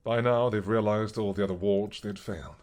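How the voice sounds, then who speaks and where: A man voicing an old, once-mad dragon king who is possessive and doting, speaking slowly with a tender, teasing manner in an audio roleplay. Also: fairly low pitch, steady tone, a little mellow, natural voice.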